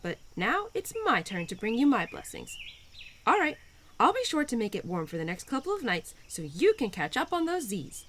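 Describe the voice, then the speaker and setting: A woman playing a cheerful, playful, nurturing young spirit of spring in an audio roleplay, affectionate and gently teasing as she speaks to the listener. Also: on the high side, sing-song, normal speed, slight background noise. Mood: caring, chatty.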